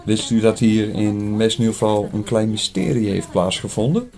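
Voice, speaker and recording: A man playing an inquisitive, polite newspaper writer in an audio drama, speaking formally and deliberately. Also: lowish voice, vocal fry, darkish tone, faint music behind. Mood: intrigued. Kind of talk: scripted audio drama dialogue.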